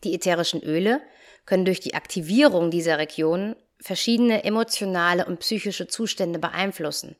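A young woman hosting her own podcast as a yoga teacher and coach, talking in a calm, friendly way straight to the listener, her nose still a little stuffy from a cold. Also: on the low side, brisk. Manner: calm, friendly direct address. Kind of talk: solo podcast talk.

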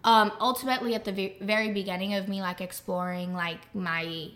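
A young adult woman speaking candidly as a podcast guest, halting often with fillers and restarts. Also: medium pitch, steady tone, fairly bright tone. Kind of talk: candid conversational interview.

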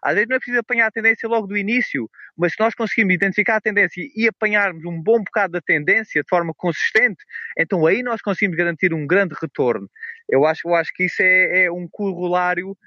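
A man's voice: sits high, pushed and bright, dry recording. Portuguese man on a muffled call. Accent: European Portuguese. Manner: measured, explanatory, with many "ah" fillers. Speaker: guest, trader. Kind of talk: casual interview over a call. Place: outdoors on a beach walk. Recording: muffled call audio, dry.

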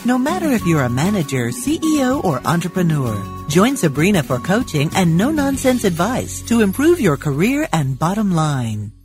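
A woman's voice: lowish voice, very animated, moderate pace, hardly any pauses, a little mellow. A woman reading a promotional podcast opening in an upbeat, sing-song way. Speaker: podcast announcer. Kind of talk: promotional announcement. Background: faint music.

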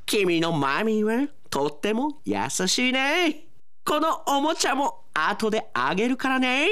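An announcer playing an earnest young foreign runner in a comic radio drama, with a strange sing-song foreign lilt and slow, stretched words that jump up high. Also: male voice, big pitch swings, bright, forceful tone, clear, resonant tone, heavily acted. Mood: coaxing. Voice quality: odd foreign-sounding lilt, stretched drawn-out vowels. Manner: swooping high exclamations.

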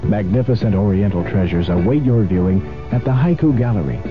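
Low-voiced solemn male announcer. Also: lively intonation, fairly quick. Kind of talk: scripted radio gallery advertisement. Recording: dull radio recording with music.

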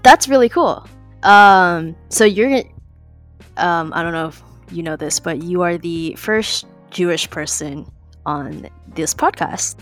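A woman's voice: on the low side, slow, soft background music. A young woman from California hosting a casual podcast interview, chatty with lots of ums and likes. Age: young adult. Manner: chatty, many ums and likes, laughs between phrases. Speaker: podcast host.

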